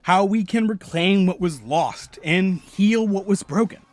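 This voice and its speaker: A man's voice: sits high, a little mellow, no room sound. Analytical male video essay narrator. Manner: measured, analytical. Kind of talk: scripted narration.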